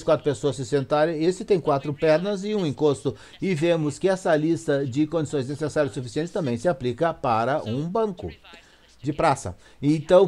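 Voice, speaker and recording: A Brazilian man with a fairly high voice works as a live interpreter for an academic lecture. His phrasing is halting, and he corrects himself as he goes. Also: darkish tone. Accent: Brazilian Portuguese.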